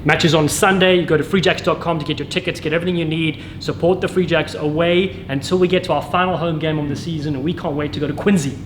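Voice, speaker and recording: A man's voice: on the high side, fairly even intonation, fast, few pauses, reverberant, background noise. A man with a South African English accent hosting an upbeat sports interview, asking punchy questions. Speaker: host and rugby commentator.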